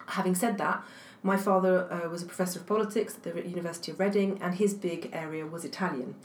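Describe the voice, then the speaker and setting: Low-voiced woman, thoughtful with fillers. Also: steady tone, quick pace. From England. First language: French. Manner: thoughtful, many fillers and restarts. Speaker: guest academic. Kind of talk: interview answers. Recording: echoey room, faint noise.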